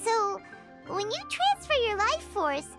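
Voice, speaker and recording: A woman voicing a tiny, excitable female fairy companion in a game, with a squeaky childlike voice, who talks about herself in the third person. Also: a little slow, music in the background, exaggerated voice. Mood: curious. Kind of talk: game voice acting.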